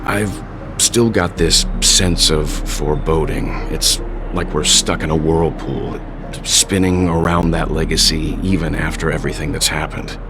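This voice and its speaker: A man voicing a calm, analytical older strategist in a video game who weighs every word. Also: rumbling low, music in the background. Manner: measured, reasoned, deliberate.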